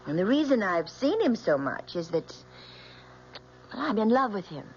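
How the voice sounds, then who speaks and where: Casting: female actor for earnest young American daughter, devoted, pleading, animated delivery, muffled old radio play. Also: mid-range voice, normal speed, slight background noise, lightly acted. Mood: earnest, insistent. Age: young adult. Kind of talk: old-time radio drama acting.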